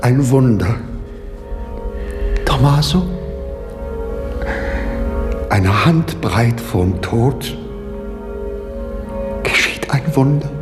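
A condemned priest, slow and solemn. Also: male voice, mid-range voice, animated, long reverb tail, music in the background. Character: condemned male priest awaiting execution. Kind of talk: 1960s radio drama acting.

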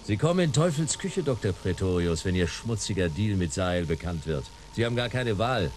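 An actor playing a cool, sardonic detective hero in a German sci-fi radio play, dry, terse and commanding. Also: male voice, deep voice, sing-song, a little fast, darkish tone, slight background noise.

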